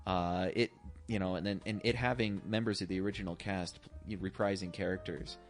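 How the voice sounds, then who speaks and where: A man with a deep, low-set voice holds a casual film discussion as a co-host. He is effusive and sweary, like an avid fan. Faint music plays behind him. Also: steady tone, a little fast. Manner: effusive, profane fan talk. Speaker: podcast co-host.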